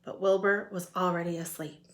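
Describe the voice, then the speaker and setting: A woman's voice: on the low side, fairly even intonation, moderate pace, noisy background. A woman reading a children's picture book aloud as a storyteller.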